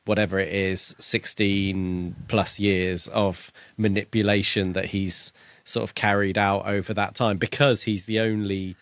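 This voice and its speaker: A man with a lowish voice speaks as a podcast co-host, recorded very dry. He talks about fiction casually but in a measured, reflective manner, laying out his thoughts step by step. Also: level tone, a bit bright, no room sound. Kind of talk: casual book discussion.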